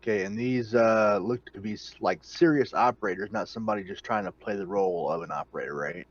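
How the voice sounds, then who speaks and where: A man's voice: fairly low pitch, quick pace, dry recording, dull audio. A man chatting casually as a player in a tabletop roleplaying game.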